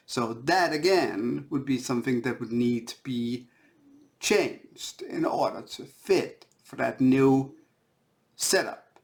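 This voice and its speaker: A Danish-accented man speaks slowly, with plenty of gravelly fry in his voice. He is an author talking informally about his own work, and he halts and circles back over phrases as he looks for the right word. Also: medium pitch. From Denmark. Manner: halting, searching for words, repeating phrases. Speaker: indie author.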